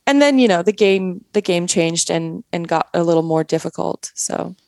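A woman's voice: lowish voice, expressive, moderate pace, a little mellow, no echo, studio quality. A woman in her forties from Canada, with a Canadian accent, talking casually as an interview guest, full of fillers and restarts. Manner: chatty, many fillers and restarts.

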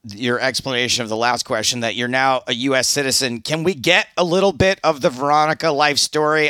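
A man hosts a casual interview, his voice bright and forward at a middling pitch. He asks loose questions that trip and restart. The sound is dry and close to the mic. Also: no echo. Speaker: podcast host.

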